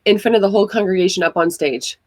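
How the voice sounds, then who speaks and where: A woman's voice: mid-range voice, quick pace, dry recording. Woman, from Hawaii, podcast guest, frank storytelling, dry recording. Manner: frank, conversational, many fillers. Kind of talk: candid personal storytelling.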